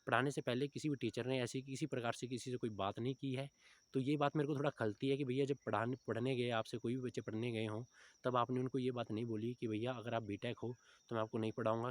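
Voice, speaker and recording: A man of about thirty talks at a brisk, rapid pace in a medium-pitched voice. He speaks informally, like a coach advising students, and keeps checking in with small tags as he explains. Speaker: exam coaching teacher giving advice to recruitment candidates. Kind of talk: informal motivational advice talk to viewers.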